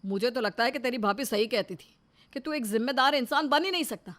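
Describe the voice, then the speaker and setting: A woman's voice: a little high, brisk, a bit bright, natural voice. A woman voicing a domineering, hot-tempered elder brother in a storybook drama, scolding and accusatory. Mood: angry. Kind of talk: acted storybook dialogue.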